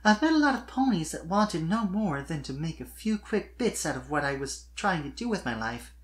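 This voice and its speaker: A performer voicing a sweet, shy but earnest young female pony in a fan fiction reading, soft-spoken and tentative. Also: mid-range voice, big pitch swings, moderate pace, voice pushed up, close to an everyday voice. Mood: shy, confiding.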